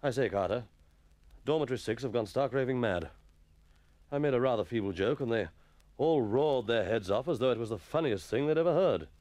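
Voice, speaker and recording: A man's voice: mid-range voice, expressive, fairly quick, a little mellow, close to an everyday voice. A man voicing a grumpy, blustering middle-aged schoolmaster with a stern, spluttering manner in a story reading. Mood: uneasy.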